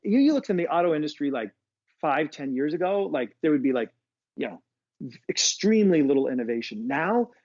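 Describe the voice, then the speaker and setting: A man's voice: fairly high pitch, big pitch swings, brisk, a little mellow, no echo, muffled recording. An American man, a business school professor, talking through ideas in a casual podcast interview with frequent restarts. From United States. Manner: thoughtful, restarts often, many fillers.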